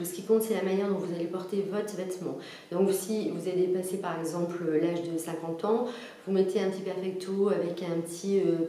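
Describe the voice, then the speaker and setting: A woman's voice: fairly low pitch, warm tone. Chatty woman in an echoey room. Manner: chatty, repetitive lists, frequent "euh" fillers. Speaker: fashion vlogger. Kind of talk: casual talk to camera. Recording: very echoey room with faint noise.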